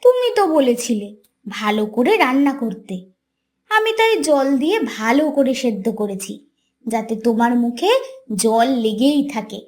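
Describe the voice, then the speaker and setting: Woman acting as naive cartoon wife, very high voice, swooping intonation, earnest, innocent. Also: very animated, unhurried, a little mellow. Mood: innocently defensive. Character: naive, simple-hearted young village wife, eager to please.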